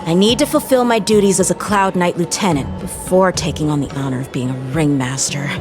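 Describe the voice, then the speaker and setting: A woman's voice: fairly low pitch, over music, close to an everyday voice. An actress voicing a short, dutiful boy lieutenant who speaks earnestly and protests readily. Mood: determined. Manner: earnest, determined, quick to protest. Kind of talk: game voice acting.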